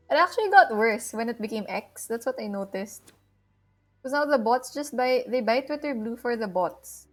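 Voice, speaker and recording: A woman's voice: a little high, lively intonation, moderate pace. A woman chatting casually as she live-streams a game. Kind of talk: casual live-stream chat while gaming. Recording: game music behind the voice.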